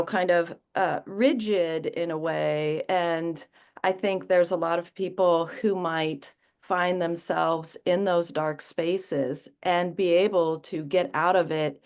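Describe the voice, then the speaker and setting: A woman author on a panel, speaking in a thoughtful and measured way. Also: fairly low pitch, steady tone, darkish tone. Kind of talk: reflective conversation.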